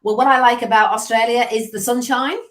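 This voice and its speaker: A woman's voice: on the high side, fairly quick. A woman in her fifties from England with a Liverpool accent, a sales coach telling stories as a guest on a video call interview. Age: 50s. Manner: chatty storyteller, lively asides. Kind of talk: casual interview chat.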